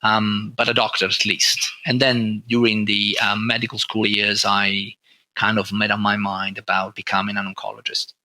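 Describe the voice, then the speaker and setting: A man's voice: fairly low pitch, monotone, normal speed, sharp tone, dry recording, poor audio. An Italian-accented man, an oncologist guest, answering interview questions in a hesitant, conversational way, middle-aged. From Italy. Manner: hesitant, many fillers and restarts.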